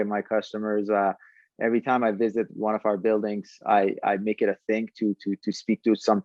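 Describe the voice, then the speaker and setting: A man's voice: on the low side, dry recording, highs cut off. A man talking conversationally as a podcast guest, explaining a product and often repeating words as he goes. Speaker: startup founder, podcast guest.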